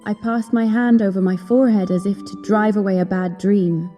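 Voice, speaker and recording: A young opera singer played by a woman tells her gothic tale with earnest, measured wistfulness. Her tone is very dark and mellow at a medium pitch. Long reverb surrounds the voice, and music plays behind it. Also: steady tone, natural voice. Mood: subdued unease. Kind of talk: dramatic first-person storytelling in an audio drama.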